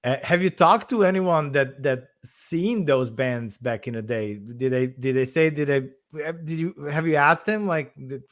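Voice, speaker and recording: Serbian-accented man asking halting questions. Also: fairly high pitch, no echo, studio quality. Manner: halting, restarts questions often. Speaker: podcast host. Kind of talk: casual interview questions.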